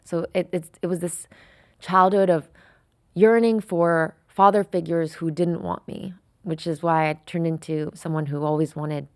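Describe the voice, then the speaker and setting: A woman's voice: on the low side, fairly even intonation, unhurried, dry recording, clean studio sound. A woman in her thirties from France, speaking openly and reflectively as a podcast guest, with frequent fillers. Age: 30s. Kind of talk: candid interview conversation.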